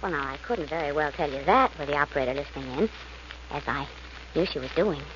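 A noisy, muffled old radio recording features a detective's plucky girlfriend. She is coy and playful, with a pitch that rises and dips freely in a breezy 1940s radio drama style. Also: animated, fast, fairly bright tone.

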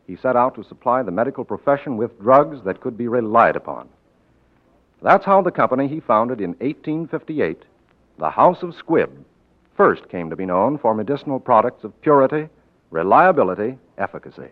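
A tinny, narrow-band old radio recording of a male announcer from the 1940s. His pitch is medium but moves in lively swings. He reads in a formal, earnest, measured manner. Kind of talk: 1940s scripted radio announcing and sponsor message.

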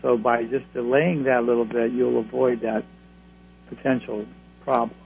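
Male astrologer guest, reassuring, gravelly, phone-line sound. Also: medium pitch, fairly even intonation, normal speed. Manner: reassuring, advisory. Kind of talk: call-in radio readings. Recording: phone line, faint hum.

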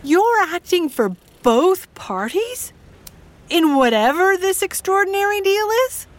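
Actress as genteel middle-aged lady, high voice, very animated intonation, precise, sceptical, dry wit, audio drama. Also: unhurried, heavily acted. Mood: wary, questioning. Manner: formal, precise, dryly pointed.

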